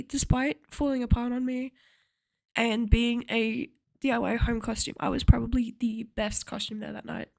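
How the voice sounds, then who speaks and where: A young woman vlogging in a chatty, rambling way, with a slightly croaky voice and frequent laughter. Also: fairly high pitch, no echo. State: a little croaky. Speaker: cosplay vlogger. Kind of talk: casual chat to camera.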